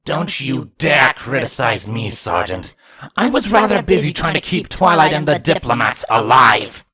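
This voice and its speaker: A man's voice: mid-range voice, big pitch swings, unhurried, pushed and bright, voice pushed up. A man voicing a stern, loyal changeling soldier who is proud and grieving, in a storybook reading. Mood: angry.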